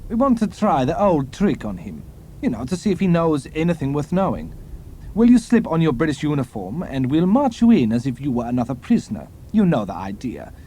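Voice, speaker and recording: A man plays a smooth German officer in an adventure story, insinuating and courteous. The voice is fairly high and mellow, with lively swings in pitch. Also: a little fast, slight background noise. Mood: confiding, scheming. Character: smooth, scheming German male officer in command. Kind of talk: audiobook character acting.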